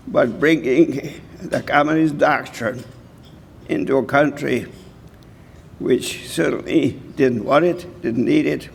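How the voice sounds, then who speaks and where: A man in his nineties from Hungary giving an award acceptance speech, halting and slow, often clearing his throat. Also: medium pitch, a little hiss or hum. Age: 90s. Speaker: award recipient. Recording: reverberant hall.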